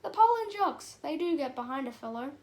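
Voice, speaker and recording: A woman's voice: high voice, very animated, a little fast, a little mellow. A woman voicing a gung-ho male bee squad leader who chants and commands. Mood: rallying.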